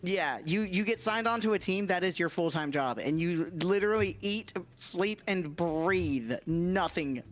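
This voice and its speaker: High-pitched man chatting casually on stream. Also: lively intonation, unhurried, hardly any pauses. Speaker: livestream guest co-reader. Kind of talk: casual explanatory chat.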